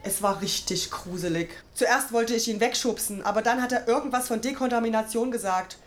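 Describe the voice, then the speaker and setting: A woman's voice: on the high side, a little fast, close to an everyday voice. A woman playing a worried, caring mother in a radio play, with a fussing, admonishing manner. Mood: amazed, fussing. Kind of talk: German radio play acting.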